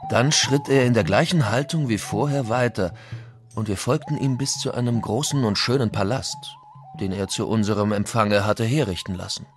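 A man's voice: fairly low pitch, moderate pace, faint music behind, lightly acted. A man playing a Spanish conquistador who recounts events in a formal memoir for an audio documentary. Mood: measured, formal. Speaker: reader of historical quotations. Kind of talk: dramatic reading of old chronicles.

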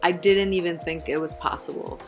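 A woman's voice: fairly low pitch, steady tone, moderate pace, echoey room, music in the background. A young woman from Puerto Rico chatting casually as a vlogger at home, upbeat and full of "like" and "um". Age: young adult. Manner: chatty, upbeat, lots of fillers like "like" and "um". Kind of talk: casual vlog chat and voice-over. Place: small home kitchen, indoors.

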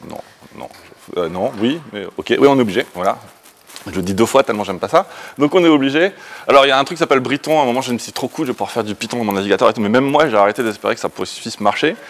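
A man in his thirties speaking as a conference presenter in an informal, colloquial and opinionated way, often hesitating and interrupting himself. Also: lowish voice. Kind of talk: informal tech talk to an audience. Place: indoor meeting room, standing before a projection screen.

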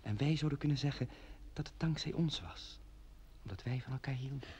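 A man's voice: mid-range voice, fairly quick, darkish tone, a little hiss or hum, natural voice. An actor playing a romantic young village man, tender and earnest, in an old Dutch radio drama. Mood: tender, intimate.

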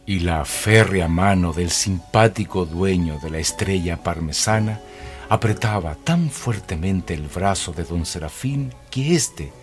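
A man narrating a scripted radio drama as a measured storyteller. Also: low voice. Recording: music behind the voice.

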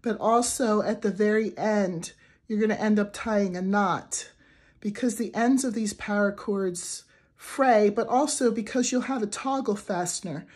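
Young woman hoarse with the flu, mellow low-key voice, chatting through a crochet how-to. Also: measured pace. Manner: chatty, with filler words and restarts. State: raspy from the flu. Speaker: crochet tutorial host. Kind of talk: casual craft tutorial. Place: indoors at a wooden table. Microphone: camera over a tabletop, hands only.

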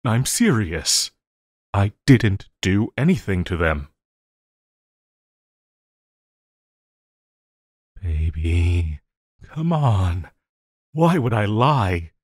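A man playing an obsessive, lovesick supervillain in an intimate audio roleplay, halting and crooning, by turns sinister and pleading. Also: on the low side, very animated, breathy edge, no echo, studio quality, exaggerated voice. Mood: evasive. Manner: halting, crooning, breaking into laughter.

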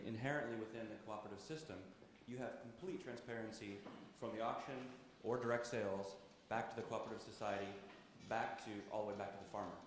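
Low-voiced man on an echoey panel. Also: slight background noise. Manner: measured, frequent fillers and restarts. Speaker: coffee trader on a panel. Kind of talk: panel discussion. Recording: echoey conference hall.

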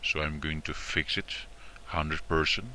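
A man presenting an informal step-by-step software tutorial in a repetitive, instructional way, often checking in with short tags. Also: very deep voice, steady tone, a little slow, a little hiss or hum.

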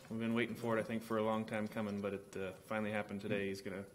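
Low, even-toned man giving an update. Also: rapid-fire. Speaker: city council member. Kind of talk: informal meeting report. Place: large council chamber. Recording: steady room noise.

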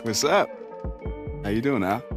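An actor playing an apologetic young American basketball player in a game drama, halting and soft-spoken. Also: male voice, mid-range voice, animated, music in the background, close to an everyday voice. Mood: subdued, apologetic. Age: young adult.

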